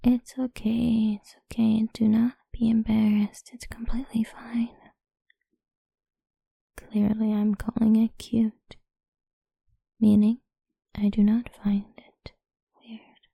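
A woman speaking in a soft, slow, coaxing whisper for a close-mic ASMR roleplay. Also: on the high side, gravelly, slightly rough, dry recording.

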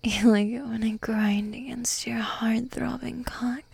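A woman whispering close to the mic in an adult roleplay, dominant and teasing, giving commands in a breathy whisper. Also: a little high, flat delivery, measured pace, darkish tone.

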